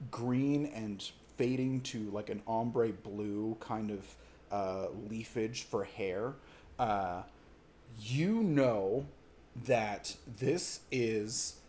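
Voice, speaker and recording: A man narrating a tabletop role-playing game as game master, in halting, conversational descriptions. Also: fairly low pitch, a little mellow, a little hiss or hum.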